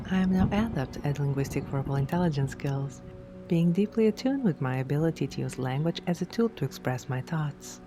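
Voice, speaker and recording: A woman reads scripted affirmations for sleep hypnosis in a measured, deliberate way, phrase by phrase. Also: sits low, noisy background. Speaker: affirmations narrator. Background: background music.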